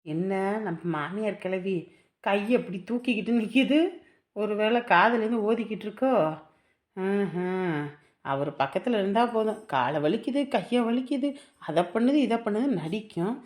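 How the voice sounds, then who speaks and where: A woman's voice: sits high, fairly quick, natural voice. A woman playing a put-upon, sarcastic young mother in a family drama, grumbling in an echoing room. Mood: resentful muttering. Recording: roomy echo on the voice.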